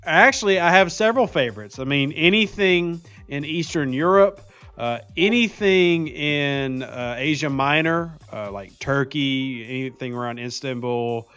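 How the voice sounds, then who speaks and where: A man's voice: fairly high pitch, a little slow, hardly any pauses, a bit bright, clear, resonant tone. A man in casual stream banter, giving blunt, opinionated rants. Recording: faint music behind.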